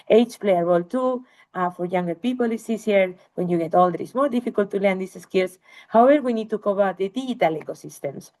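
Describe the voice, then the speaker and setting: A woman's voice: medium pitch, darkish tone. A woman researcher giving an academic webinar lecture, explaining slides to listeners.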